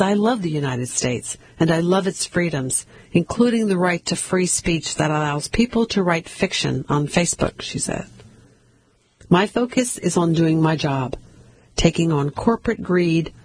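A reader reading newspaper articles aloud, one after another. The voice is husky and sits at a middle pitch. The delivery is steady, with the odd slip and restart. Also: dry recording, low-quality recording.

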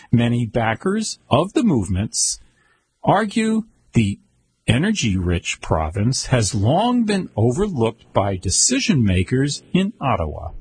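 A man reading world news aloud at a slow, halting pace, stumbling over words and correcting himself. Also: medium pitch, a little mellow, husky. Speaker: news reader.

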